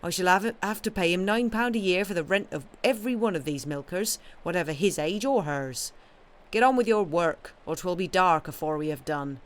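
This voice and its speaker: A woman's voice: fairly low pitch, lively intonation, moderate pace, close to an everyday voice. A woman voicing an irritable male dairy farmer in a storybook, scolding with commanding authority. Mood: irritated, commanding.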